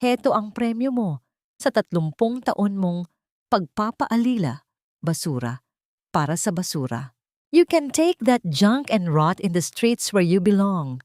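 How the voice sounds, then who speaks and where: A woman's voice: medium pitch, big pitch swings, a little slow, a little mellow, no room sound, clean studio sound. A woman voicing a cruel, haughty rich daughter-in-law with sneering, contemptuous commands in a dramatic story. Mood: scornful.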